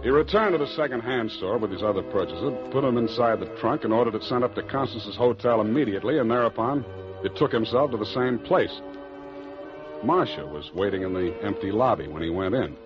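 An actor playing a hard-boiled private detective in an old radio drama, sardonic and clipped, with dryly comic delivery. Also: male voice, lowish voice, expressive, brisk, close to an everyday voice. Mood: deadpan narration. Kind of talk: 1940s radio acting, first-person detective narration. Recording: dull old radio recording with music.

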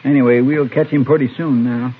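A man's voice: mid-range voice, fairly even intonation, brisk, close to an everyday voice. An American man playing an earnest, loyal, folksy deputy in an old-time radio western, deferential to his boss. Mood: earnest, puzzled. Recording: noisy, tinny old radio recording.

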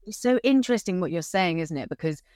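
A woman coach talks in a relaxed, conversational way, her voice on the deep side. She gets animated when she retells stories, and the recording is close and dry. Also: fast talker, dry recording. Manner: animated, acts out quotes with rising pitch. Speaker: communication coach, guest. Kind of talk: casual conversation.

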